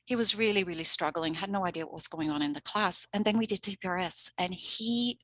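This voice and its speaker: A woman's voice: on the low side, a little fast, fairly bright tone. A middle-aged woman from Switzerland, a native Swiss German speaker, presenting a language-teaching workshop in an earnest, chatty way and laughing often. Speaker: language coordinator.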